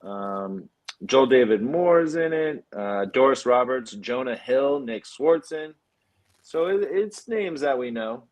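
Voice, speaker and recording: A man co-hosting a casual film chat, talking in an informal, opinionated and halting way. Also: medium pitch, lively intonation, darkish tone. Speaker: podcast co-host.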